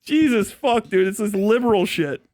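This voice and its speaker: A man's voice: high-pitched, lively intonation, normal speed, a little mellow, dry recording, studio quality. A man, casually ranting as a live streamer in a sweary, incredulous, mocking way. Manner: breaks often into laughter while talking. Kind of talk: casual rant and commentary to a stream audience.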